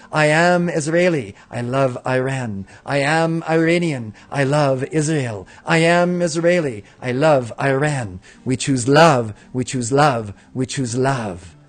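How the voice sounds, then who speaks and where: A man hosting a spiritual broadcast, speaking in a calm, reflective way and leading chanted affirmations. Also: mid-range voice. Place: indoor room with windows in the mountains.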